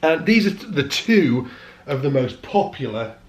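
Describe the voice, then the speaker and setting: A man's voice: fairly high pitch, big pitch swings, unhurried. Chatty British man losing his voice. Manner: chatty, self-correcting, explaining as he goes. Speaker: bass teacher and vlogger. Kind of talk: casual vlog talk to camera.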